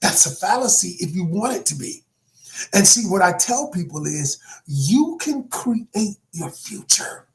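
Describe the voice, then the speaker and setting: Emphatic man in his sixties coaching. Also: high voice. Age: 60s. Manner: emphatic and preachy, calls listeners "y'all", swings from hushed to shouted. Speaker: life coach. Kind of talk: motivational talk to viewers.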